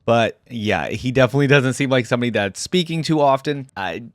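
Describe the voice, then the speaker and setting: A man's voice: medium pitch, lively intonation, brisk, no echo. A man hosting a reaction video, chatting and musing informally in casual commentary, close to the mic. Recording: dry close mic, faint music behind.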